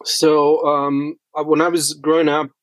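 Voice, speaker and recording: A man's voice: fairly high pitch, level tone, unhurried, no room sound, low-quality recording. Reflective man from Bosnia, dry close sound. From rural Bosnia. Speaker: podcast guest, photographer. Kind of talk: conversational interview storytelling.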